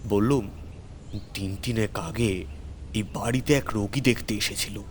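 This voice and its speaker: A man narrating a first-person horror story theatrically, with suspenseful pauses and repeated words. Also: fairly low pitch, steady tone, a little hiss or hum.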